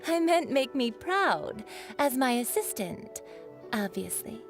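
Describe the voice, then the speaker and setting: A woman's voice: sits high, sing-song, slow, exaggerated voice. A playful young woman who makes perfume in a fantasy game. She is teasing and coy, yet explains things like a patient teacher. Game music plays behind her voice. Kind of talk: video game voice acting. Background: background game music.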